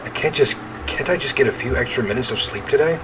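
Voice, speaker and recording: A man's voice: medium pitch, animated, fast talker, background noise. A man reading a visual novel aloud as he plays, with theatrical, emphatic narration and offhand reactions.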